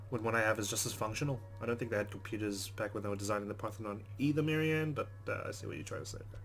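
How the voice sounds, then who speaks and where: A man hosting a game playthrough, reading the story text aloud with casual asides. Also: lowish voice. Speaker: let's play host.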